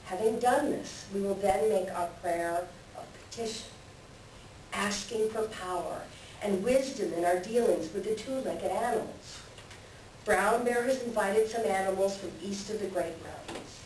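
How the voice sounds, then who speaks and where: A woman voicing a wise, patient male eagle elder in a readers theater, measured in the way a storyteller reasons. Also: medium pitch, darkish tone, close to an everyday voice. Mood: solemn, welcoming. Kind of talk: readers theater stage reading. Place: library room, recorded from a distance. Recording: very echoey library room, distant, hissy.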